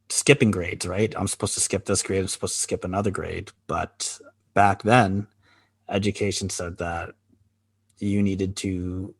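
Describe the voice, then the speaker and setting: A man co-hosting a podcast, talking candidly in a halting, reflective way with many pauses and restarts. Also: sits low, no room sound. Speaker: podcast co-host. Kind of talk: candid conversational chat.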